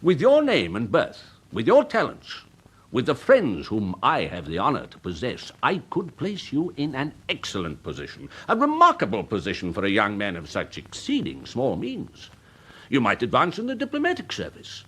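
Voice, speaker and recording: An actor playing a worldly older English gentleman, a pompous and smoothly persuasive uncle in a period radio drama. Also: mid-range voice, wildly swooping pitch, moderate pace, heavily acted. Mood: exasperated, scornful. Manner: pompous, urbane, rhetorical, insistent.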